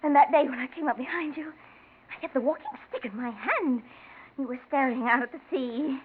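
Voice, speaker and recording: A woman's voice: sits high, fairly quick, husky. An actress playing a distraught, guilt-wracked woman in a 1940s radio mystery, speaking with dramatic intensity. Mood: anguished confession. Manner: dramatic, swinging between hushed and hysterical. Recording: dull, noisy 1940s radio recording.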